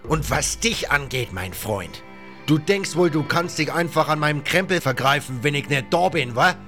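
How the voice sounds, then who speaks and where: A man voicing a gruff, bossy pirate captain in an audiobook, barking orders in colloquial slangy speech. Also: on the high side, animated, normal speed, pushed and bright, soft background music, pitched above the performer's own voice. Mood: threatening, gruff. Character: gruff, bossy one-eyed male pirate captain, middle-aged.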